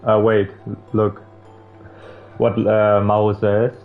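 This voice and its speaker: Young male streamer, low voice, reading aloud with irreverent slangy commentary, phone-like audio, music clearly behind. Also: completely flat delivery, very slow. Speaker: streamer playing a visual novel. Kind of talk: reading game text aloud with casual joking commentary. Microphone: streamer microphone with face cam. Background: game music.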